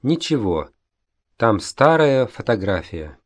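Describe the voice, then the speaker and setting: Tsubasa, a polite young man in a course dialogue. He speaks slowly and carefully, letting his pitch rise and fall a lot. The sound is dry and close. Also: sing-song, no room sound. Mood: plain. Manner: carefully enunciated, deliberate. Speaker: dialogue reader in a language course. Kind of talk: slow, clear textbook dialogue reading.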